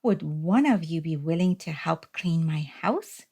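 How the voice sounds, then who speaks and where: A woman's voice: low-pitched, expressive, measured pace, slightly rough, exaggerated voice. A woman voicing a timid, polite hermit crab in a children's storybook, earnest and courteous. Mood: admiring, polite. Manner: gentle, earnest, courteous questioning.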